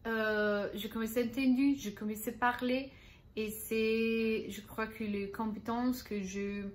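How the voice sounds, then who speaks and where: A woman with a Spanish accent talks unscripted in a learner's vlog. Her delivery is slow and halting, with frequent pauses while she searches for words and restarts her sentences. She laughs lightly at her own mistakes along the way. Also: mid-range voice. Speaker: language-learning vlogger.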